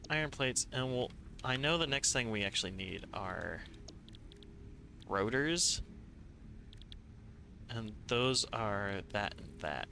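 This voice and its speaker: A man's voice: medium pitch, expressive, slow pace, gravelly, pauses often, pushed and bright, a little hiss or hum. A man streaming a factory-building game live, thinking aloud with playful asides to himself. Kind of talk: live gameplay commentary.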